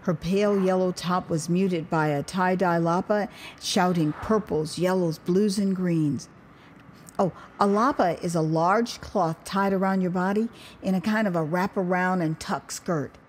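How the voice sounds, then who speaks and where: An American woman narrating her own memoir as an audio drama, expressive and wry, with conversational asides. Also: lowish voice, measured pace, slight background noise. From United States. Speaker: author and storyteller.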